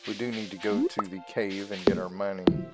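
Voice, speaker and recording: A man's voice: fairly low pitch, steady tone, brisk. A man giving casual let's-play commentary on a video game, talking through each step as he plays. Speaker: gaming video host. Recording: game music loud behind voice. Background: loud video game music and sounds.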